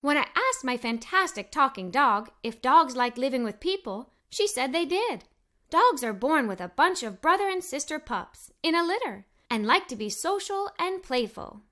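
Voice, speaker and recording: A woman reading a children's story aloud in the first person as a young girl, expressive and full of wonder. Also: sits high, moderate pace.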